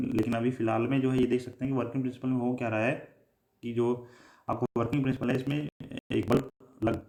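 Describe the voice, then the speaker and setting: A man with an Indian accent giving a technical lecture, explaining in a halting, repetitive way and mixing in English terms. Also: mid-range voice, a little mellow. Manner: halting, repetitive explaining with frequent filler phrases. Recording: echoey room.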